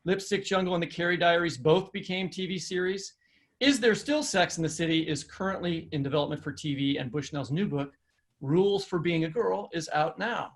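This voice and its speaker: A man's voice: fairly high pitch, fairly quick. A man co-hosting a podcast, interviewing a guest in a conversational way. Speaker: podcast co-host.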